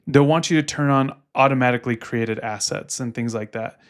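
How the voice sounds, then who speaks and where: Man giving practical advice, mid-pitched. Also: a little fast, clear, resonant tone. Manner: explanatory, gives practical advice. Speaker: podcast co-host and Google Ads specialist. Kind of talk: casual advisory podcast talk.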